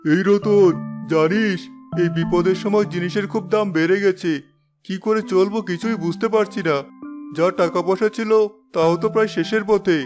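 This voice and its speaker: A man's voice: sits high, fairly even intonation, moderate pace, natural voice. A man voicing a worried, fed-up villager in a cartoon, forthright in manner. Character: worried, plain-spoken male villager, fed up. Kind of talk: cartoon voice acting. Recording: faint music behind the voice.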